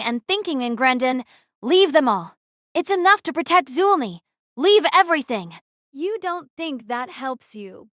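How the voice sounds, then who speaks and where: A commanding young female captain , played by a woman in a fan audio drama, giving crisp, decisive orders. Her voice sits high and lively, with a bright, sharp edge. The recording is dry and close. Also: no room sound.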